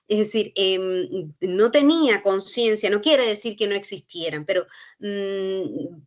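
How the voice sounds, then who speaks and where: A woman from Cuba with a Cuban accent, a university researcher speaking on a workshop panel, thinking aloud and pausing often as she searches for words. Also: medium pitch. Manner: thoughtful, searching for words with many pauses and fillers. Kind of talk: reflective panel talk.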